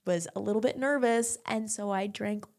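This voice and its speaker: A young woman in the middle of her range talking in a relaxed, chatty way, full of filler words and quick asides. She sounds like a guest in a casual podcast conversation, letting stories tumble out. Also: mid-range voice, vocal fry, heavy background noise. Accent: English-speaking, non-French. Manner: chatty, fast, filler-heavy with lots of "like". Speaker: barre instructor, guest. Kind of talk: casual interview chat.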